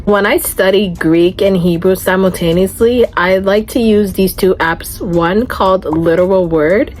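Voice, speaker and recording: A woman in her twenties talking casually and earnestly to camera in a vlog, with frequent "like" fillers. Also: on the low side. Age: 20s. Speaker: vlogger.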